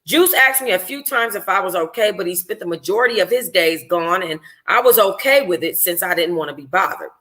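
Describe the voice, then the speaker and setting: A woman reading a first-person novel aloud informally as a book club host, in an expressive, conversational, slangy way. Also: on the low side, fairly quick, few pauses.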